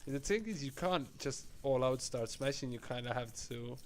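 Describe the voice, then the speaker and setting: Relaxed chatty man commentating poker. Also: medium pitch, moderate pace, a little hiss or hum. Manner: relaxed, chatty, laughs easily.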